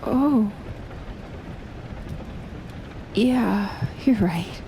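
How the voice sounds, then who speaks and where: A woman's voice: mid-range voice, extremely slow, warm tone. A young woman playing a shy, playful best friend in an intimate audio roleplay, talking casually and hesitantly. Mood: flustered, nervous. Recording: rain and thunder noise behind voice. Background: rain and thunder.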